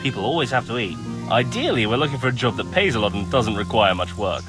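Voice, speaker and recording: Actor as lively, easygoing village carpenter. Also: male voice, medium pitch, fast talker, music in the background, close to an everyday voice. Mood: casual, matter-of-fact. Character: work-shy, easygoing male village carpenter seeking work. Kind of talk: video game cutscene dialogue.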